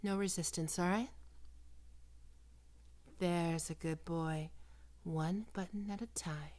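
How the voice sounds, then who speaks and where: A woman playing a possessive, predatory female vampire in an ASMR roleplay, coaxing and cooing in a breathy voice that often drops into whispers. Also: fairly low pitch, fairly even intonation, a little mellow. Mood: eager, predatory. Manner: slow, cooing, condescending endearments.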